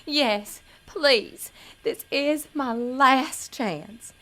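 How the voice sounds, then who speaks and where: An actress performs a naive young country girl hoping to win a pageant. Her high voice draws words out slowly with an earnest, pleading manner, in audio comedy style. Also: sharp tone, full character voice. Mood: pleading, eager. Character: innocent, needy young country blonde pageant hopeful. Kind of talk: comedic audio drama acting.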